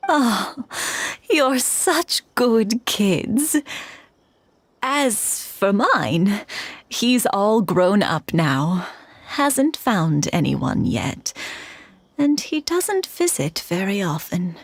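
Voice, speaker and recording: Sweet old village grandma, unhurried, sing-song. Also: female voice, medium pitch, big pitch swings, no echo, exaggerated voice. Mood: touched. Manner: gentle, fussing, grandmotherly. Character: kindly frail old village woman. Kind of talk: game voice acting.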